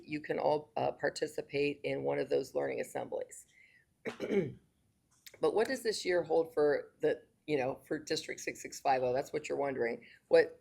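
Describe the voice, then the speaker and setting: Gravelly low-voiced woman giving a speech. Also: darkish tone. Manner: hesitant, many fillers and restarts. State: losing her voice. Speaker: district governor. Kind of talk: speech to a club meeting.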